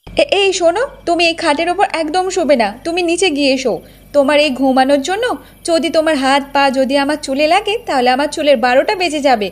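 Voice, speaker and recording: A woman's voice: high-pitched, fast talker, lightly acted. A woman voicing a vain, haughty young village wife in a cartoon folktale, boastful and scolding. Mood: indignant, scolding. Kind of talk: Bengali cartoon folktale acting.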